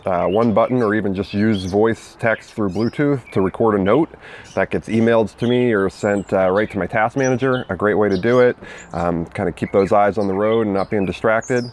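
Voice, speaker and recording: A man's voice: lowish voice, slight background noise. A man hosting a weekly fundraising tip, talking casually outdoors with many fillers and birds singing nearby. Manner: relaxed and chatty, many "uh" and "you know" fillers.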